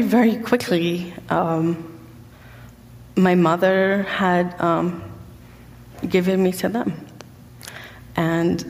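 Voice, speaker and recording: A young woman from Egypt giving a personal testimony as a guest speaker, halting often with ums and pauses. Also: lowish voice, a little mellow, in a large hall. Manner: hesitant, many ums and pauses. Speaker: guest speaker sharing her story.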